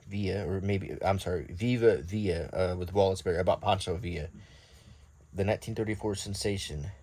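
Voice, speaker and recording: Male host, lowish voice, browsing print aloud with offhand commentary, very dry intimate sound. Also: a little mellow, no echo. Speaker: host reading an old newspaper. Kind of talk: unintentional ASMR read-aloud with casual commentary.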